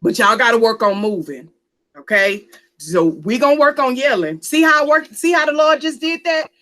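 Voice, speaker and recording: Woman host for a church talk panel, lively, preacherly, repeats her phrases. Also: fairly high pitch, moderate pace.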